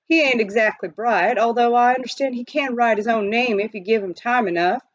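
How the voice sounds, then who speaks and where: A woman voicing a rough, boastful, needling mining-town man in a children's storybook, with colloquial frontier talk. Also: on the high side, fairly quick, no echo, muffled recording, voice pushed up, natural voice. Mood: sly boasting.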